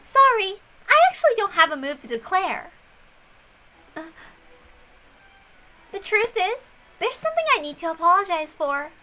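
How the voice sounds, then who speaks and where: A woman's voice: very high voice, sing-song, normal speed, long pauses, pushed and bright, pitched above the performer's own voice, exaggerated voice. A woman voicing a smug, scornful young woman detective with mock-polite manners in a visual novel reading. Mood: smug, mock-apologetic. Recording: music under the voice.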